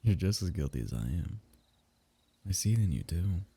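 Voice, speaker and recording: A young man speaking softly and intimately in an ASMR roleplay, teasing and sometimes dropping into a whisper. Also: sits low, expressive, normal speed, slightly rough.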